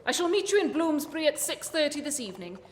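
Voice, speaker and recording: A woman plays a shrewd Victorian gentleman detective in a comic radio play. The voice is high, formal and precise, with a commanding briskness, and carries long reverb. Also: big pitch swings, a little hiss or hum. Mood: urgent, grave.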